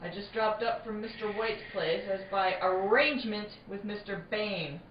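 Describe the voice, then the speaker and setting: An actress playing a brisk, commanding county prosecutor in an old-time radio drama. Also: female voice, medium pitch, lightly acted. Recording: distant, echoey, dull, noisy recording.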